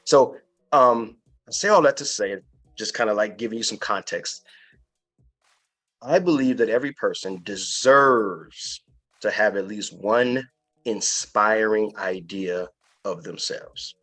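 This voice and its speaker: A man's voice: fairly low pitch, measured pace, a bit bright. A man hosting an inspirational podcast interview, speaking earnestly and emphatically and repeating phrases for effect. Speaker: podcast host.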